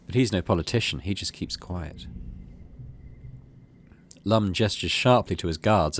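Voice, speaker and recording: A middle-aged man hosting a game playthrough, commenting casually and reading the story text aloud. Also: on the low side. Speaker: gaming video host.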